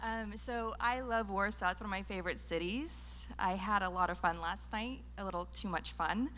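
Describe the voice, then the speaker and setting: A woman's voice: medium pitch, moderate pace, a bit bright, slight background noise. A woman from Northern California with an American accent, giving an earnest conference talk on a handheld microphone, with frequent ums and restarts. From Northern California, USA. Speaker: public speaker. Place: lecture venue.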